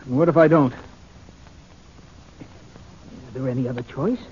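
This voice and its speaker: A man's voice: mid-range voice, unhurried, a little hiss or hum, lightly acted. Wary, indignant male politician, acted by a man, hesitant and guarded, 1940s radio style, dull vintage sound. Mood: wary, sarcastic. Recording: muffled old 1940s radio recording.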